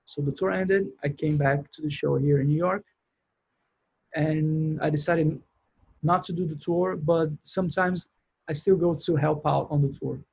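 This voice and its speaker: A man with a Brazilian accent and a slightly higher, breathy voice tells his story in an easy, unpolished way. He restarts often and fills gaps with small words. The sound is muffled and lo-fi. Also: fairly even intonation, moderate pace, a little mellow. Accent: Brazilian Portuguese. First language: Portuguese. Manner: rambling, many restarts and fillers. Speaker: sound engineer guest. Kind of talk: casual interview chat.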